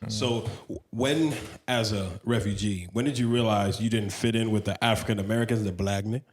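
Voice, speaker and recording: A man's voice: fairly low pitch, steady tone, a little fast, husky. A man hosting a podcast in a casual, slangy style, speaking informally to listeners and guest in a studio. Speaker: podcast host.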